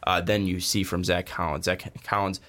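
A man hosting a casual sports podcast, talking with frequent fillers and pauses. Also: deep voice. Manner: relaxed, hesitant, frequent fillers. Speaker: podcast host.

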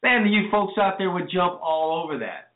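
A man's voice: sits high, lively intonation, normal speed. A man hosting a call-in radio show, earnestly advising listeners and then bringing on a guest. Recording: echoey, phone-like lo-fi audio.

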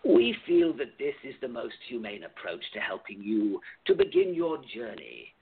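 A sinister club president in a radio drama, grandiose and menacing. His gravelly, husky voice swoops wildly between low and high as he leans on his words. The sound is thin, like a phone line. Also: big pitch swings, full character voice. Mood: coaxing, ominous. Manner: theatrical, menacing, drawn-out emphatic delivery. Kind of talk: radio play acting.

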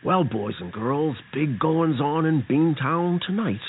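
A man playing a theatrical narrator of a children's radio story, speaking slowly in a drawn-out way. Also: fairly high pitch, phone-quality sound. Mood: theatrical, inviting. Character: storybook narrator addressing children about Beantown's adventures. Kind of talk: slow children's radio-show announcing. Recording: noisy, thin radio-like sound.

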